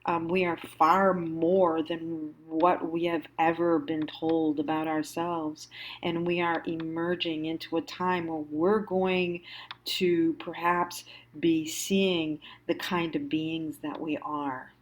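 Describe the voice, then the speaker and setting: An American woman giving a reflective monologue as a host, musing haltingly and stressing key words. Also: low voice, level tone, poor audio. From United States. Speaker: host of a personal storytime show.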